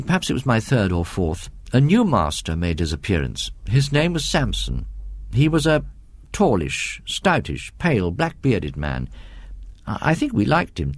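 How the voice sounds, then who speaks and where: A man's voice: mid-range voice, no room sound. British man narrating, widely varied intonation. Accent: British English. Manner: measured, reminiscing, conversational asides. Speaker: story reader. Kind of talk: audiobook ghost story narration, first-person reminiscence.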